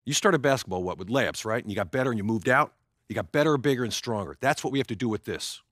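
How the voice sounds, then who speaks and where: Fast-talking, no-nonsense American trainer. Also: male voice, medium pitch, animated, fairly bright tone, dry recording, studio quality. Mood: instructive. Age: middle-aged. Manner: matter-of-fact, coaching, firm. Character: no-nonsense middle-aged team athletic trainer. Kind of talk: naturalistic video game cutscene acting.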